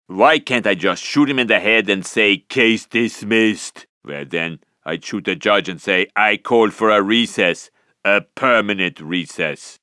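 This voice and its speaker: An actor playing a vain, muscle-bound action movie star in a cartoon video game, with an Austrian-German accent and pompous, deadpan, clipped delivery. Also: male voice, lowish voice, dry recording, clean studio sound.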